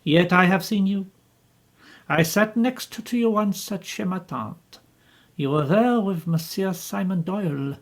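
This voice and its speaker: A woman reading the part of an older male detective, calm and formal, in a slow, deliberate husky voice. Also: mid-range voice, pitched above the performer's own voice. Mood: grave.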